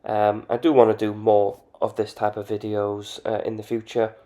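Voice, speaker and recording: A man's voice: fairly low pitch, monotone, normal speed, mellow. A man hosting a video game channel, giving casual voice-over commentary with frequent ums and uhs. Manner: hesitant, many ums and uhs.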